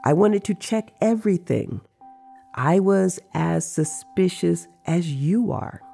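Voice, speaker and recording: Man acting a teasing, gravelly old sorcerer. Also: high voice, animated, slow pace, warm tone, soft background music, voice pushed up, heavily acted. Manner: playful, teasing. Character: teasing, mischievous older male sorcerer.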